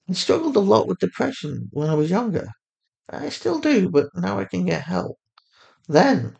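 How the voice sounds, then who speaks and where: A man's voice: sits high, gravelly, darkish tone, voice pushed up, exaggerated voice. A man voicing a loving, sorrowful mother in a story, speaking in a tender, soothing way. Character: gentle, loving, sorrowful mother of a teenage girl.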